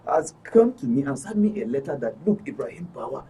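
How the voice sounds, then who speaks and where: Husky Nigerian man, lively and emphatic. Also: mid-range voice, a little fast. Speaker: studio guest, club member. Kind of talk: impassioned interview talk. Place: radio and TV studio. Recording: distant microphone.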